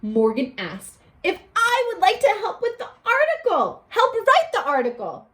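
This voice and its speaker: A woman teacher reading a picture book aloud to young children, expressively, pausing to put questions to them. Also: extremely high pitch. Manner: animated, addressing children with questions and pauses.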